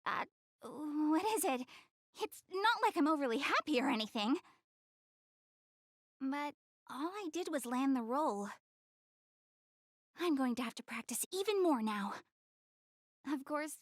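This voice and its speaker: A woman playing a proud, self-conscious young goddess girl in an anime-style game, expressive, easily flustered and earnest. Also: high-pitched, no room sound, studio quality. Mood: flustered, defensive.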